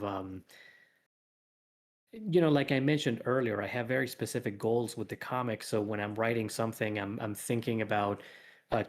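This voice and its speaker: A man talking casually as a guest creator in an interview, thinking aloud and rambling a little as he explains. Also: mid-range voice. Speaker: guest comic author and animation artist. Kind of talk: casual livestream interview talk.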